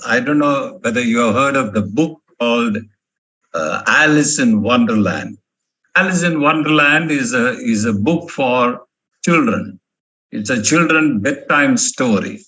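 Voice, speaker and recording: A man's voice: mid-range voice, pushed and bright, rough recording. A male professor from India giving a motivational management lecture, emphatic and fond of repeating key words. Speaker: professor giving a guest talk.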